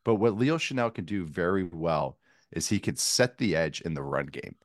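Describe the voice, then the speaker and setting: Deep-voiced man riffing on a podcast. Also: lively intonation, moderate pace, dry recording, studio quality. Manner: joking, rambling with many fillers. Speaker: guest football analyst. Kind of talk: casual sports podcast chat.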